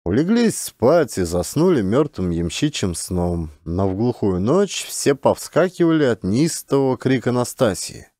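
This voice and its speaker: A man reading a literary story as an audiobook narrator, in an expressive, measured storytelling manner. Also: mid-range voice, no echo, studio quality.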